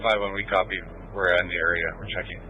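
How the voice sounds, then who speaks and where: A man's voice: deep voice, level tone, fast. A man calling in as an officer over police radio, clipped and matter-of-fact. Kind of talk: radio call. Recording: dull radio scanner with faint music.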